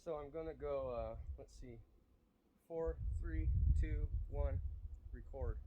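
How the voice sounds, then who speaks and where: A man's voice: a little high, slow pace, narrow, tinny audio. Man with a head cold, rambling, hesitant, outdoor review; faint background hiss. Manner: rambling, many ums and restarts. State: has a cold, sniffly. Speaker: air gun reviewer. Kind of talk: casual outdoor gear review. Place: outdoors at a shooting spot.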